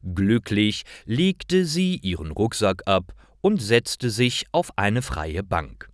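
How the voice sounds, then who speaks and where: A man with a fairly low voice narrates simple stories for language learners. He reads very slowly and deliberately, with lively swings in intonation. The delivery is scripted and careful throughout. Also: no echo, studio quality.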